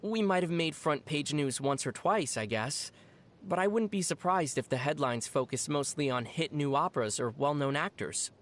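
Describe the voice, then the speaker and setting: An actor voicing a young traveling adventurer in a video game, level-headed and gently teasing, explaining things in a dry, playful way. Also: medium pitch, animated, normal speed, lightly acted. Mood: dry, explanatory. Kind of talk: video game character dialogue.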